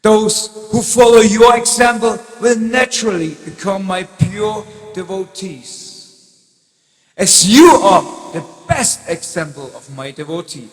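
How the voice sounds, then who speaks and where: In a cavernous echo, a high-voiced god acted by a man speaks very deliberately. He is solemn, commanding and benevolent, declaiming as in sacred drama. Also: expressive. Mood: benevolent, blessing. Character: male Supreme Lord, half-lion deity, solemn, commanding, benevolent. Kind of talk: slow dramatic scripture reading. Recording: echoey hall, long reverb.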